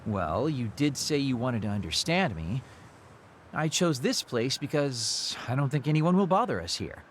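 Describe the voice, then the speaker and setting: A man's voice: medium pitch, big pitch swings, normal speed, slight background noise, natural voice. An actor playing a teasing, world-weary immortal man in a video game cutscene. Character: burned-out, playful man two thousand years old, hollow inside. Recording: music behind the voice.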